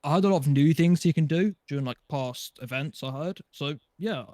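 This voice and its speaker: A man's voice: a little high, moderate pace, darkish tone, no room sound. Man chatting casually, dry close sound. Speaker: podcast panelist. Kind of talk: casual chat.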